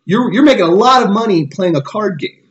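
A man's voice: sits high, lively intonation, fairly quick, lo-fi sound. A man in his thirties in casual podcast discussion, explaining his points and often checking in with a tag question. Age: 30s. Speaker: podcast guest co-host.

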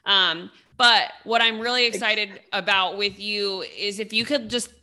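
A woman's voice: mid-range voice, steady tone, measured pace, pushed and bright. A woman hosting a podcast, interviewing her friend with gushing enthusiasm and frequent "right?" tag questions. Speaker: podcast host.

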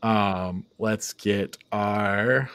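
A man's voice: lowish voice, very slow, a bit bright, smooth, no room sound. A man hosting a podcast, chatting about films in an informal, playful way. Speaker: podcast host. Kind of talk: casual film review chat.

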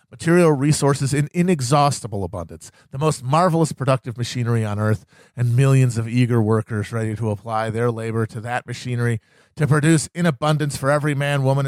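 A man's voice: medium pitch, darkish tone, no room sound. Solemn man reading oratory, dry close sound. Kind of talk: dramatic reading of a historical political speech.

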